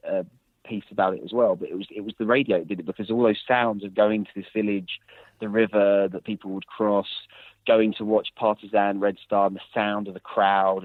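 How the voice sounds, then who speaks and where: A man talks on a narrow, phone-like line with a slightly low, husky voice. He tells long stories in a loose, rambling way, restarting sentences and stacking fillers as he goes. The sound is dry and close. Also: no echo. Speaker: journalist and author, guest. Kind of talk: casual interview answers.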